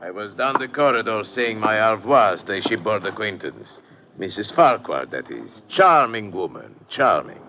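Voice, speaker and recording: A man's voice: fairly low pitch, sing-song, moderate pace. An actor playing a suave, shady male traveler with formal, polite manners in old radio drama style. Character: suave, courteous, shady male ship passenger. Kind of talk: 1940s-50s radio drama acting. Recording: muffled, hissy old radio recording.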